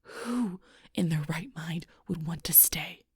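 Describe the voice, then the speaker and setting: A woman acts out a wounded, fiercely protective she-werewolf in an audio roleplay. Her voice is husky and full of fry, captured very dry and close. She speaks straight to the listener with bitter, confrontational intensity. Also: on the low side, takes it slowly, darkish tone, dry recording, full character voice. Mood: bitter, irritated. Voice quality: breathy, husky, rough-edged. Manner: intense, confrontational, swearing, often dropping to near-whisper.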